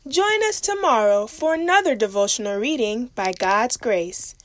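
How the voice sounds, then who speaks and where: A woman's voice: fairly high pitch, lively intonation, moderate pace, a bit bright. A woman reading scripted devotional lines. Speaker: devotional reader.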